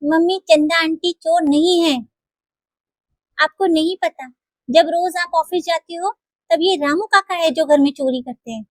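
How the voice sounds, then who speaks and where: A woman's voice: very high voice, a little slow, dry recording, pitched above the performer's own voice. A woman voicing an earnest, bold little girl in a toy cartoon story, speaking insistently.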